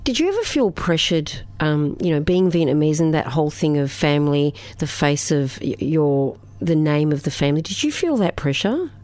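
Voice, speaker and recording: Chatty woman radio co-host, low voice. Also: sing-song, darkish tone, no echo. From Australia. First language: Greek. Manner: chatty, quick to laugh, trailing restarts. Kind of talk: casual radio chat.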